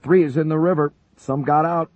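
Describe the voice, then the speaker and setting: A man voicing a tough older male gunnery sergeant with a raspy voice, shouting over the intercom. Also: a little high, fairly even intonation, brisk, no echo, lo-fi sound, pitched above the performer's own voice, lightly acted.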